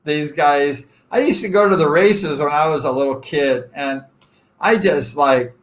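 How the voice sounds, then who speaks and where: A man's voice: a little high, unhurried. A man around sixty from Southern California, hosting a casual live chat with viewers in a rambling, joking way with lots of fillers. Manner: rambling and chatty, self-deprecating joking, frequent fillers. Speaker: live-stream host.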